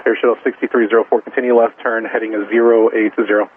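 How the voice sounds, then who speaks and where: A man speaks as an air traffic controller in a very even, lowish voice. His instructions are clipped and procedural, heard through a tinny, narrowband radio link. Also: fast talker. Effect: aviation radio.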